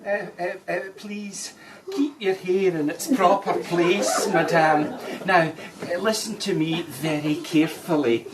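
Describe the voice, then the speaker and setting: A woman's voice: fairly low pitch, takes it slowly. A woman playing an exasperated male bank manager, stammering and fussy, in broad comic stage style. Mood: strained politeness. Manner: stammering, fussy, increasingly agitated. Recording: distant mic, faint background noise.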